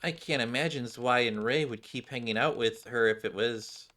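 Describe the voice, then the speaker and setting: A gossiping schoolgirl in a video game, read out by a man at mid pitch. She is nosy and casual, full of chatter. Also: normal speed, bright, forceful tone, natural voice.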